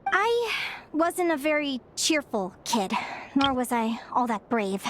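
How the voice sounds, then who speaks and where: Young Fae girl in a game, high voice, soft and confiding, quietly brave. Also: big pitch swings, slow pace, background noise, exaggerated voice. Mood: reflective. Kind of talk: game cutscene voice acting.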